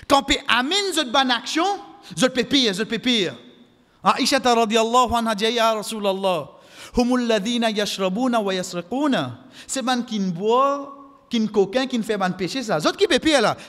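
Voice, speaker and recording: A man's voice: very high-pitched, fairly bright tone. A middle-aged man with a Mauritian Creole accent preaching a sermon, exhorting with impassioned repetition and quoting Arabic. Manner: impassioned, exhortative, repeating phrases for emphasis. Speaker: preacher giving a Friday khutbah. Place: mosque, indoors at the pulpit. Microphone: microphone on a stand, close. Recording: echoey hall with long reverb.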